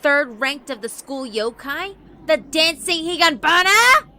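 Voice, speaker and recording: A woman voicing a proud, conceited female fire yokai with haughty, theatrical, drawn-out taunts for a visual novel. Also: sits high, expressive, pushed and bright, pitched above the performer's own voice, full character voice. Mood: haughty, threatening. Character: proud, conceited fiery female school yokai with flaming hair. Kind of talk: dramatic visual novel voice acting. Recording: music behind the voice.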